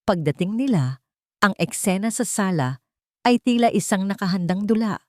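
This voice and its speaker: A woman's voice: mid-range voice, expressive, normal speed, no room sound, clean studio sound. A woman narrator reading a scripted dramatic love story.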